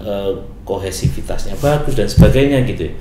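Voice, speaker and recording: A man's voice: fairly low pitch, a little fast, mellow. A man, a guest in a casual talk-show discussion, explaining things and often checking for agreement. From Bekasi, Indonesia. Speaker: guest speaker. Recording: echoey room with faint noise.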